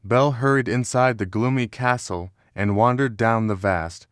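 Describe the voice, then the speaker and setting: A man's voice: mid-range voice, normal speed, clean tone, dry recording, studio quality. A man reading scripted narration as the narrator of a children's storybook.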